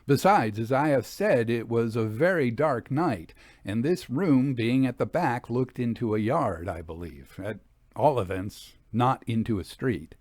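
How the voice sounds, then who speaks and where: A man reading a Victorian ghost story as scripted narration, in a measured storytelling delivery. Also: medium pitch, expressive, darkish tone, dry recording. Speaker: audiobook reader.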